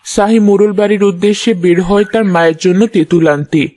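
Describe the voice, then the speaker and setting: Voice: mid-range voice, quick pace, warm tone. A narrator reading a scripted cartoon folk story over background music. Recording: music behind the voice.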